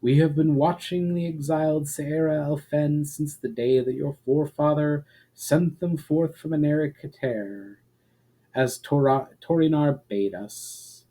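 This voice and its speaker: A man's voice: a little high, warm tone. A man voicing a powerful, serene elven moon priestess in a storybook, with a stately, formal and solemn manner.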